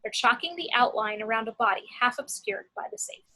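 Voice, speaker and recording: A woman narrates a script as the reader of action lines. Her voice is on the high side and clearly bright, with a forward edge. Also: animated, a little fast, poor audio. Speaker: narrator reading action descriptions.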